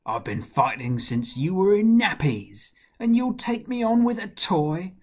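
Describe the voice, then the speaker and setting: A man's voice: medium pitch, slow pace, husky. A man voicing a fierce, proud giant warrior who boasts and taunts in rhyme, in a children's storybook style. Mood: mocking, menacing. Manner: boastful, taunting, singsong rhyming delivery.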